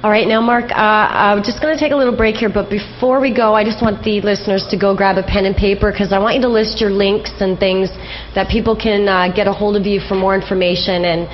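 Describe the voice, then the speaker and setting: A woman's voice: medium pitch, fairly even intonation, fairly quick. A woman hosting a radio interview in a casual, conversational style. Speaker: radio show host. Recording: dull lo-fi sound with background hiss.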